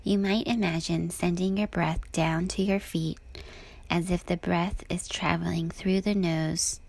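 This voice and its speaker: A woman with a soft, breathy voice guides a body scan meditation in a calm, gentle, unhurried manner. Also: fairly low pitch, dry recording.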